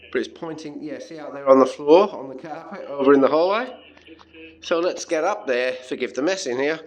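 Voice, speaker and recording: An Australian man presents a hobby radio demonstration in an informal, chatty way. He thinks aloud as he works with his equipment, explaining things in plain, everyday terms with a mid-pitched voice. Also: low-quality recording. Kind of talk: casual hands-on tech demonstration.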